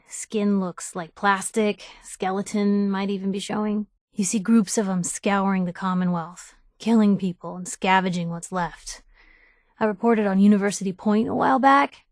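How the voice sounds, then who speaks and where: A woman's voice: medium pitch, no echo, clean studio sound, natural voice. An actress playing a spirited, nosy young woman reporter in a video game, talking in a wry, inquisitive, punchy and colloquial way. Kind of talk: video game companion dialogue.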